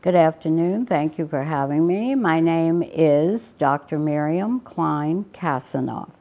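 Low-voiced, slow-speaking elderly woman. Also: animated, creaky, dark tone. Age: 80s. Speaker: Holocaust survivor interviewee. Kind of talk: recounting family memories in an interview. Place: indoor studio.

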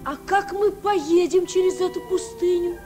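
Eager, very high-voiced young boy. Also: normal speed, over music. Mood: curious. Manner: wide-eyed, questioning, excitable. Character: Petya, eager curious young boy traveller. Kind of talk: mid-century Soviet children's radio play acting.

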